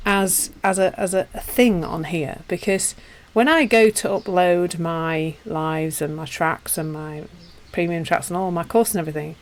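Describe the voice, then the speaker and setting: A woman with a Yorkshire accent teaching and chatting casually with viewers on a live stream, self-deprecating and warm in her asides. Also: mid-range voice. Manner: chatty and self-deprecating, with frequent restarts and laughs. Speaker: meditation teacher.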